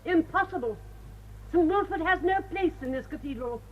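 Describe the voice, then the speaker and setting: A woman's voice: very high voice, lively intonation, moderate pace. An actress playing a defiant, devout and determined woman in a British radio drama, speaking emphatic, clipped, formal English-accented lines. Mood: indignant. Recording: muffled old radio broadcast.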